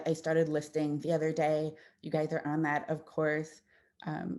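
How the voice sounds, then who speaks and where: A woman's voice: low-pitched, mellow. A woman audiologist giving a conversational presentation to parents, explaining with everyday examples and frequent fillers and restarts. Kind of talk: informal presentation with slides.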